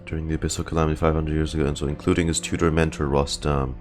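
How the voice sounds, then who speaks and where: A man doing casual reaction commentary as a streamer, muttering to himself and haltingly reading bits of game dialogue aloud. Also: rumbling low, level tone, darkish tone. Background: faint game music.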